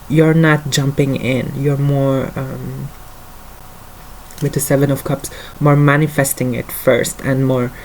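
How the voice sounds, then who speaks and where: A man's voice: medium pitch, darkish tone, slight background noise, rough recording. A man with a French accent, a native French speaker, giving a casual tarot card reading in English, talking hesitantly with many fillers. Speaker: tarot reader.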